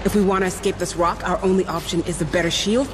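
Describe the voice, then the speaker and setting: An actress playing a practical, plainspoken starship chief engineer in a video game, with a wry manner. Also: female voice, on the low side, steady tone, fast, background noise, lightly acted. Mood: wry, matter-of-fact.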